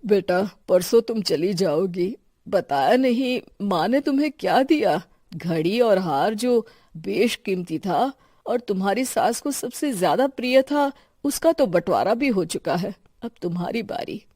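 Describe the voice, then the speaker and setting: Woman voicing a mellow, gentle elderly father-in-law. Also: medium pitch, animated, a little slow, dry recording, clean studio sound. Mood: curious, earnest.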